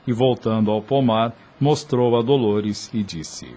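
A man's voice: mid-range voice, fairly even intonation, a little slow, warm tone. A man narrating a radio soap opera from a script in a solemn, literary storytelling manner.

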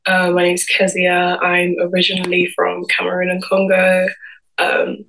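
A woman's voice: fairly low pitch, completely flat delivery, measured pace, gravelly, a bit bright, slight background noise, rough recording. A woman in her early twenties with a London accent, giving a reflective personal talk in a vlog, feeling her way through her phrases. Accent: London English. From London, England. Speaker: guest speaker giving a personal talk to camera.

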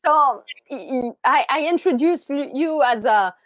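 A French Canadian woman from Montreal, a native French speaker, hosting a live podcast, enthusiastic and halting, with many restarts. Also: high-pitched, expressive, a bit bright, no room sound. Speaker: podcast host. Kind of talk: live-streamed interview podcast.

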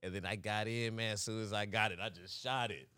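A young man from Fresno co-hosting a podcast, telling animated stories and breaking into laughs and shouts at the punchlines. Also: lowish voice, a little fast, smooth, dry recording, studio quality. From Fresno, California. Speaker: podcast co-host. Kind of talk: casual storytelling chat.

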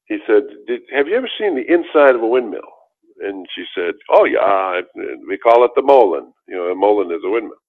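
Deep-voiced older man telling his story. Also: big pitch swings, a little fast. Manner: rambling, with many restarts and fillers. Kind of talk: casual storytelling interview. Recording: tinny phone-like line.

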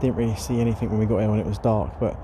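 A man chatting casually by a lake about carp fishing, rambling with plenty of fillers, his voice going hoarse. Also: lowish voice, steady tone, rapid-fire. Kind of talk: casual vlog chat to camera. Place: outdoors by a lake. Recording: noisy outdoor recording.

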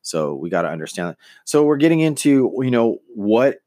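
A man's voice: medium pitch, big pitch swings, a little fast, mellow, no room sound. Man talking in a dry, rambling way. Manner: rambling, many fillers and restarts; earnest, motivational. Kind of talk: casual monologue on personal branding.

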